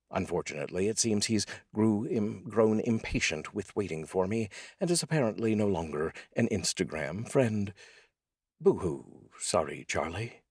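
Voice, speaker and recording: Male poetry host and voice actor, very low husky register, wry and deliberate, recorded close and dry. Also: no room sound. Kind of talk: informal spoken intro and poetry reading.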